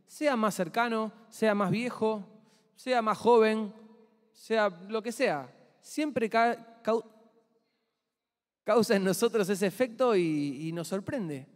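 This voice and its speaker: A man's voice: sits high, takes it slowly, in a large hall. A young man with an Argentine Spanish accent, preaching a chatty sermon and asking the congregation questions. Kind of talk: conversational sermon. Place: church hall.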